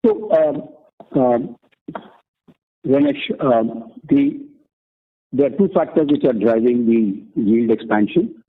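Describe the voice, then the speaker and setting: A man explaining as an executive on an earnings call, deliberate and halting, over a conference phone line. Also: mid-range voice, animated, slightly rough. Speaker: company executive answering analysts.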